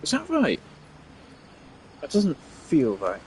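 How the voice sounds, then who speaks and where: A man giving casual gameplay commentary, thinking out loud with fillers and the odd swear. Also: a little high, wildly swooping pitch, slow pace, soft background music. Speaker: gamer testing team setups.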